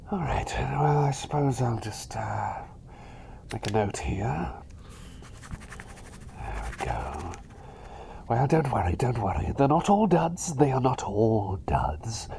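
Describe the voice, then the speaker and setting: A man playing a cheery, eccentric plague doctor in a whispered ASMR roleplay, polite, chatty and hesitant, bumbling along with excitable eagerness. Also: mid-range voice, creaky, slight background noise, exaggerated voice. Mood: sheepish, undeterred.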